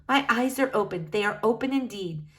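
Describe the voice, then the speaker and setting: A woman's voice: mid-range voice, expressive, moderate pace, close to an everyday voice. A woman voicing a wordy, earnest young boy in a children's storybook, speaking in a formal, effusive manner. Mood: delighted. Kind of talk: acted children's storybook dialogue.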